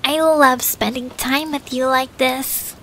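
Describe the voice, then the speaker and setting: A woman's voice: high voice, steady tone, slow, fairly bright tone, a little hiss or hum, full character voice. A woman voicing a smitten, swooning female cartoon beaver with a lovesick, sighing manner. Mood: lovestruck.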